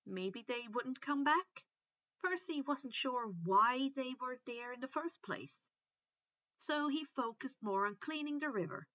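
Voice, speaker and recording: A woman who is not a native English speaker, reading stories aloud in an expressive, informal way. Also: sits high, unhurried, dry recording, dull audio. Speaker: fan fiction reader, host. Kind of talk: expressive amateur story narration, chatty outro.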